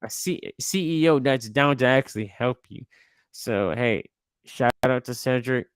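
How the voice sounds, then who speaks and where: A man in his twenties hosting a podcast, talking in a relaxed, slangy way with lots of restarts. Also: medium pitch, unhurried, clean tone, no room sound, clean studio sound. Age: 20s. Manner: laid-back, slangy, rambling with restarts. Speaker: podcast host. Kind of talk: casual interview chat.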